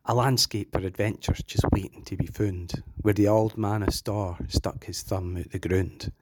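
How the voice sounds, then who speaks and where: A man with a Scottish accent reciting a rhyming poem in a steady rhythm. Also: lowish voice, normal speed, noisy background. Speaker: poetry reader. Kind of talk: poetry reading.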